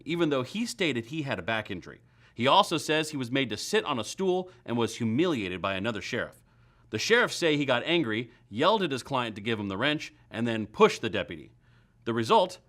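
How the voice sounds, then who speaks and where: A man's voice: medium pitch, fairly bright tone. An American man hosting a comedy web series, delivering a scripted monologue to viewers in a wry, sarcastic, emphatic way.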